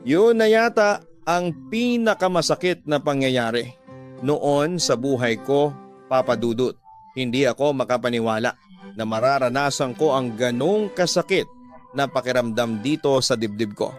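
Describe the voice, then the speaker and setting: A man's voice: mid-range voice, normal speed. A man with a Filipino accent giving scripted first-person narration for a radio drama. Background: background music.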